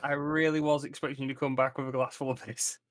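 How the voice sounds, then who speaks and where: A man's voice: mid-range voice, level tone, quick pace, a bit bright. A man playing a tabletop roleplaying game, chatting casually in a blunt, sweary way. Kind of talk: casual group banter.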